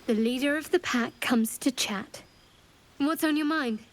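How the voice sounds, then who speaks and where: A woman's voice: high voice, unhurried. An actress voicing a guarded, wry female cleric companion in a video game, reserved and thoughtful. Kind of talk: video game cutscene dialogue.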